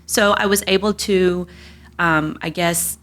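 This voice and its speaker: Interview guest: woman, low but bright voice, candid and rambling. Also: fairly even intonation, measured pace. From United States. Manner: candid, rambling with many fillers and restarts. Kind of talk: casual interview conversation.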